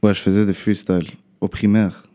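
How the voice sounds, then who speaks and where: A young man is answering questions in a relaxed interview. His is Arabic. He speaks haltingly, restarts his phrases and tags sentences with a loose "là". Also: on the low side. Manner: halting, repeats words, trails off with "là". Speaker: guest rapper. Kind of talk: casual interview chat.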